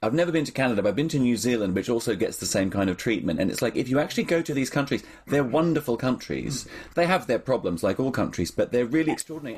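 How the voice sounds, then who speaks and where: A man's voice: medium pitch, slightly rough. A man hosting a casual interview, chatting in a wry, joking way. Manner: wry, chatty, jokes lightly. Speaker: podcast host.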